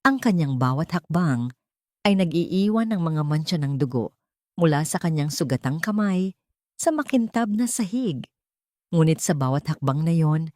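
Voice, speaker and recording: A woman's voice: deep voice, darkish tone, no room sound, clean studio sound. A woman reading a scripted story as a dramatic narrator.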